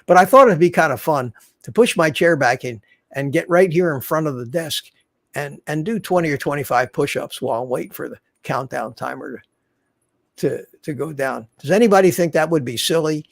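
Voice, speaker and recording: A man's voice: on the high side, fairly quick, creaky, no echo. A man in his seventies hosting a casual livestream from his home studio, rambling and restarting his sentences as he chats. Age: 70s. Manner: chatty, rambling with many restarts. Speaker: livestream host. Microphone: studio desk mic.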